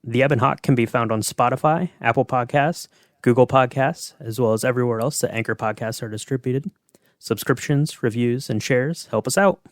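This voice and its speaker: A man's voice: medium pitch, moderate pace, no room sound, studio quality. A man hosting a podcast in a casual, conversational way. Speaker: podcast host. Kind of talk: casual chat.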